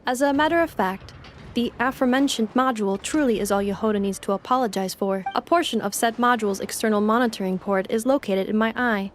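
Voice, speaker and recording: A woman voicing a polite, literal-minded robot girl in a video game, speaking formally and deadpan. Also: fairly high pitch, fast talker, slight background noise, lightly acted. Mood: calm, formal. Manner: formal, deadpan, precise wording. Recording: faint game music behind. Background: faint game music.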